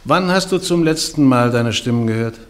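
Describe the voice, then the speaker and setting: A man acting a church inquisitor in a radio drama. His voice sits at medium pitch, and his questioning is measured, pointed and insistent. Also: animated, natural voice. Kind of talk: German radio play acting.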